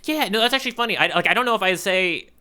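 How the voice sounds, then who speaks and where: A man's voice: high voice, animated, bright, forceful tone, clean tone. A man co-hosting a podcast, enthusiastic and joking in casual banter. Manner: enthusiastic, quick to joke, cheers and exclaims. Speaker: podcast co-host.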